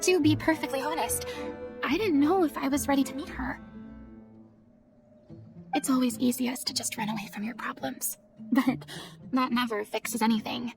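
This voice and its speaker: A woman's voice: high-pitched, fairly even intonation, normal speed, creaky, pauses often, music in the background. A woman playing a dramatic, vulnerable young former stage idol in a video game, with theatrical, formal phrasing. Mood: wistful, hesitant.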